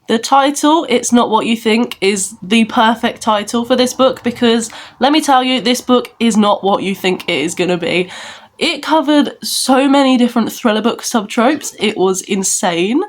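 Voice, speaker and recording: A woman's voice: fairly high pitch, measured pace. A woman with a congested, bunged-up nose, chatting enthusiastically in a casual book vlog. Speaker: book vlogger.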